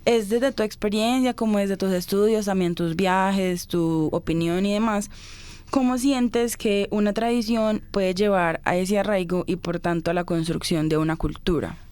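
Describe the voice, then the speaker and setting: A woman from Colombia hosting a podcast interview, asking measured questions and affirming her guest. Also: mid-range voice, darkish tone, clean tone. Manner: attentive, affirming interjections, formal phrasing.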